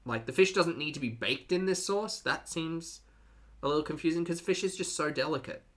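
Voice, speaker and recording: A man's voice: on the high side, fairly quick, fairly bright tone. A man hosting a reaction stream, chatting casually to his live audience with dry, teasing sarcasm. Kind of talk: casual live commentary to a chat.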